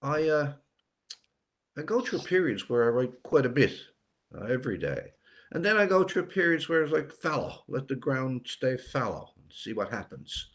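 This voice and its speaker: Older man, musing and halting. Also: medium pitch, sing-song. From Newfoundland, Canada. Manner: musing, pausing, repeats words for emphasis. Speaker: writer being interviewed. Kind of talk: reflective interview conversation.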